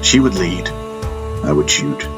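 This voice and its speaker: A man narrating a first-person fantasy audiobook in a measured way, with dramatic pauses. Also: deep voice, animated. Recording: music bed under the voice. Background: background music.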